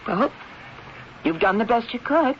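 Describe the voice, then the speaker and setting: An actress playing a cold, scheming wife plotting her husband's death, brisk, controlling and dismissive, in 1970s radio drama style. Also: a little high, expressive, a little hiss or hum. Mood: feigned concern. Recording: dull old radio recording.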